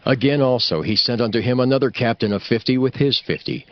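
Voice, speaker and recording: A man reading scripted scripture narration for a dramatized audiobook in a solemn, measured way. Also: medium pitch. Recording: slightly dull audio with music behind. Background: music.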